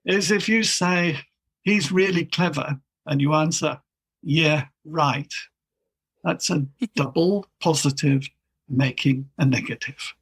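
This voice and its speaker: A man's voice: a little high, slow pace, breathy edge. A man speaking as a linguist guest, explaining in a dry, wry way. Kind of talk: casual chat.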